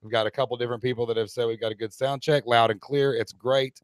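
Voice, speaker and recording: A hoarse middle-aged man hosts a live stream and speaks upbeat and direct to the viewers. His voice sits at an ordinary pitch for a man. The sound is dry and close, with no room echo. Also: fairly even intonation, quick pace. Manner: upbeat, addresses the chat audience directly. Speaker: co-host of a live online Q&A.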